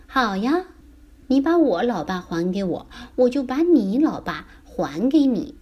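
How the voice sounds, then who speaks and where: A woman voicing an eager, clever young boy in a children's story, with an excitable, exclamatory delivery. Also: a little high, unhurried, husky, muffled recording, pitched above the performer's own voice, exaggerated voice. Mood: confident.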